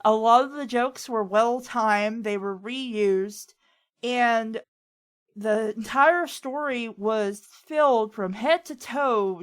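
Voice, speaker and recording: A woman hosting a casual chat, talking in a rambling, opinionated storytelling way. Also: a little high. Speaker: host of a fan fiction reading show.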